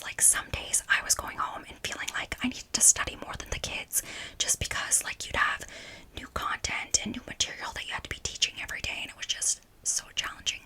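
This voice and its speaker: A woman's voice: sits high, bright, forceful tone, rough recording. A woman in her thirties from Canada whispering a loose, rambling ASMR chat full of "like" and "um". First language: not French; French is her third language. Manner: rambling, filler-heavy "like" and "um". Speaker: ASMR creator. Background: ASMR trigger sounds.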